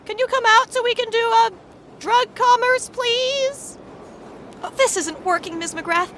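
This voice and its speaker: An actress playing an earnest, naive daredevil agent who talks cheerfully. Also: female voice, very high voice, unhurried, fairly bright tone, slight background noise. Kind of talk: comedy audio drama acting.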